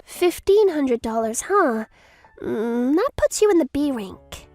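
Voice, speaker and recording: A woman's voice: sits high, big pitch swings, takes it slowly, a little mellow, no room sound, faint music behind, full character voice. A woman playing a bubbly, money-obsessed young schoolteacher with a gushing manner in a manga dub. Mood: brisk, condescending. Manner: gushing, peppers talk with French phrases.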